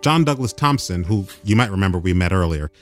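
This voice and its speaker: A man's voice: deep voice, expressive, a little fast, soft background music. A man hosting a radio program, narrating in a conversational way. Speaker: radio host.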